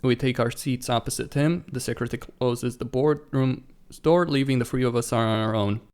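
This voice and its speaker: A man's voice: mid-range voice, fairly even intonation, normal speed, darkish tone, clear, resonant tone. A man hosting a let's play, reading a visual novel aloud and reacting informally with some mild swearing, with game music behind him. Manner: acts out dialogue and comments informally with mild swearing.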